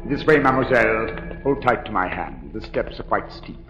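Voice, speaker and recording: A man's voice: lowish voice, big pitch swings, moderate pace, dull audio, over music. An actor playing an obsessed masked madman in a 1940s radio drama, coaxing and pleading with feverish intensity. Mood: soothing, evasive. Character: obsessed, masked madman luring a young woman underground. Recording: noisy old 1940s radio broadcast.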